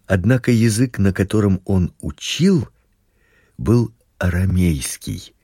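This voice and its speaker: A man giving a scripted, measured religious lesson narration, posing rhetorical questions as he reads. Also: lowish voice, husky, dry recording, clean studio sound. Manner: slow, measured, expressive reading with rhetorical questions.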